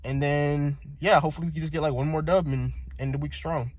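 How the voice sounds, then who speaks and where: A young man vlogging about his day trading in a relaxed, slangy, rambling way, full of fillers. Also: on the high side, quick pace. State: congested with a sore throat. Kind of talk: casual vlog chat.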